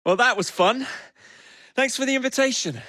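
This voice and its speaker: An actor with an English accent playing a cocky, roguish young Victorian gang leader in a video game, with a cheeky, wry, theatrical manner. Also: male voice, extremely high pitch, normal speed, bright, forceful tone, dry recording, clean studio sound. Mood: playful, teasing.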